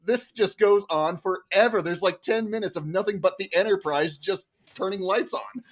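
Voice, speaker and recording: Man, high voice, mock prosecutor, exasperated, humorous argumentative film critique. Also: moderate pace. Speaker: podcast panelist playing a mock prosecutor.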